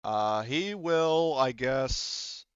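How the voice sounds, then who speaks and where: A man's voice: mid-range voice, expressive, drawn-out words, smooth, dry recording, dull audio. A man acting as game master, narrating and refereeing a tabletop roleplaying game in an informal, hesitant, think-aloud way.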